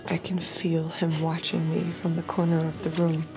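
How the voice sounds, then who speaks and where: An actress playing a subdued artificial young woman, a haunted reluctant killer, in a quiet, intimate audio drama monologue. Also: low voice, completely flat delivery, normal speed, over music. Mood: numb, bitter. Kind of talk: dramatic first-person audio fiction narration.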